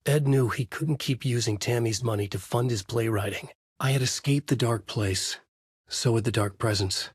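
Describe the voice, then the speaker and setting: An actor playing a brooding, haunted male novelist who narrates his dark horror thriller in a measured, grave, husky voice for a video game. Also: mid-range voice, no room sound, studio quality. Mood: somber, then brooding, uneasy. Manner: measured, grave, dramatic delivery. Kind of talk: scripted noir horror narration.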